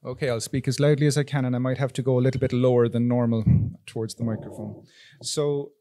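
A man's voice: mid-range voice, flat delivery, quick pace, warm tone. An Irish man in his thirties, a town coordinator, presenting at a public forum from a podium microphone in a meeting hall. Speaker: town coastal resilience coordinator.

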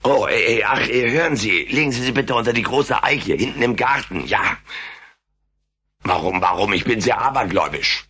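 An actor voicing a droll, hungry, wisecracking furry alien in an audio drama. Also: male voice, fairly low pitch, lively intonation, normal speed, pushed and bright. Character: wisecracking, ever-hungry furry alien telling his story. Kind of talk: German audio drama dialogue and first-person narration. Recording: faint hiss on old cassette.

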